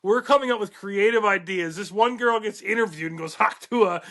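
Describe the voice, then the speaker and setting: A man co-hosting a laid-back podcast with a high, gravelly and bright voice. He talks in a relaxed way, letting his voice drop low as each point winds down. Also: moderate pace. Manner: relaxed, voice sinks low and trails off at the ends of points. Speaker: podcast co-host. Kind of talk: casual podcast chat.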